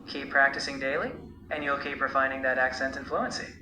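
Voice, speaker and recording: Male AI tutor, very bright sharp tone, roomy sound, light noise, measured coaching. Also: mid-range voice, steady tone, soft background music. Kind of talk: encouraging spoken feedback.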